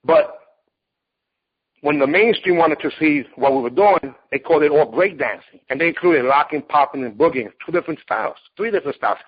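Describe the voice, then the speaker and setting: Blunt, husky man with a higher voice. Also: expressive, fairly quick. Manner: emphatic, blunt, preachy. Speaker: martial arts competitor and teacher. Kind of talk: interview, storytelling monologue. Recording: dull lo-fi recording.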